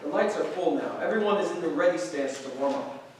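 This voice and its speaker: A man narrates stage directions from a script. His voice is fairly high and sounds distant, in a very echoey room with faint noise. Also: fairly quick.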